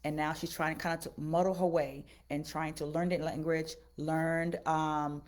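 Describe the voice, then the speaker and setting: A woman's voice: low voice, level tone, a little slow. A woman from Haiti, a native Haitian Creole speaker, giving casual advice as a teacher on a live stream, earnest and repeating phrases for emphasis.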